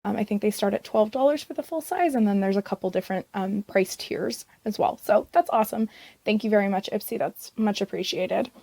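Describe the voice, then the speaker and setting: A young woman from Canada with a hoarse, scratchy voice from vocal strain, chatting casually to camera as a beauty vlogger, rambling with lots of fillers. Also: mid-range voice, brisk, dark tone, no echo.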